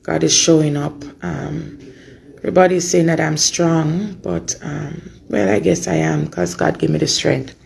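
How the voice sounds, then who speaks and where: A Jamaican woman speaks informally and earnestly to her supporters. Her voice is hoarse and creaky, and she goes slowly, repeating phrases to make her point. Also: deep voice, expressive, a little mellow. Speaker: mother giving an update on her daughter. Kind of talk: informal spoken update to supporters.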